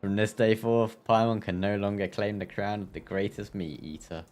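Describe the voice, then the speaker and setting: Lower-voiced man streaming a game playfully. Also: fairly even intonation, moderate pace, a little mellow, a little hiss or hum. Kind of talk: live game playthrough, reading dialogue aloud and reacting.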